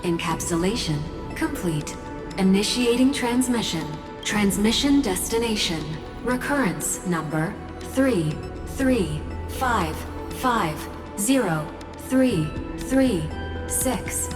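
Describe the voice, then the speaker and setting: A woman's voice: mid-range voice, animated, slow, echoey room, far from the mic, over music. A woman voicing an impassive machine system in a game, giving procedural announcements in clipped, impersonal phrases.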